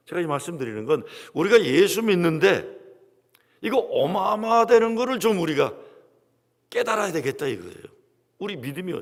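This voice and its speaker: Guest pastor, male, gravelly voice, swinging delivery, long church-hall reverb. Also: high voice. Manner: lively storytelling, quoting congregants, dramatic drops to near whispers. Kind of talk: revival sermon with storytelling. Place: large church sanctuary.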